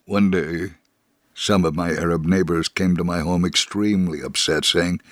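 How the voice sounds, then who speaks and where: Man acting deep-voiced earnest devout survivor. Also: steady tone, moderate pace, dry recording, close to an everyday voice. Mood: earnest, solemn. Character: devout male Holocaust survivor in Israel, earnest storyteller. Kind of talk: dramatic reading of a memoir. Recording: very dry studio, faint music bed.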